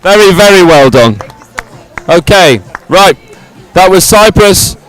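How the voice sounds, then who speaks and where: A man with a slightly high voice hosts live coverage of an outdoor sporting event. He is effusive, often doubles up his words, and speaks in an improvised presenting style in the open air. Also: lively intonation, slow, a bit bright, poor audio. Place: outdoors at a regatta stadium.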